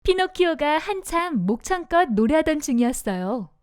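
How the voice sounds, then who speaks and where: A woman narrating a children's storybook in an expressive, sing-song manner. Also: high-pitched, normal speed, heavy background noise.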